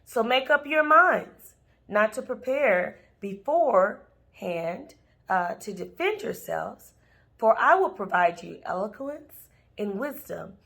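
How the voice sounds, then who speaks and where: A woman reads a passage of scripture aloud from the text. Her pace is slow and her delivery deliberate, with measured pauses between phrases. Her pitch sits in a middle range for a woman. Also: gravelly, a bit bright. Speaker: scripture reader. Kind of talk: scripted Bible reading aloud.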